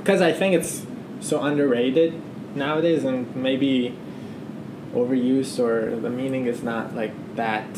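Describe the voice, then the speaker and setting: A man's voice: medium pitch, fairly even intonation, a little slow. A man hosting a podcast, talking casually in a laid-back way with lots of agreeing "yeah"s. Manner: laid-back, laughs easily, many "yeah"s. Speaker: podcast host. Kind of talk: casual conversation. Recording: echoey room with clear background noise.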